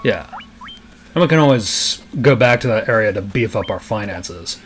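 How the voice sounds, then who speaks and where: A man's voice: on the low side, expressive, normal speed. A man casually narrating his moves while playing a role-playing game, in a matter-of-fact tone. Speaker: let's play host playing the game. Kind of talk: casual gameplay commentary. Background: game music.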